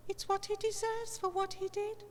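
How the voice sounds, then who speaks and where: A woman's voice: extremely high pitch, little pitch movement, normal speed. A woman playing a grieving, resentful mother sliding into madness, with brooding bitterness, in a horror audio drama. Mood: accusing, anguished. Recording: long reverb, faint noise.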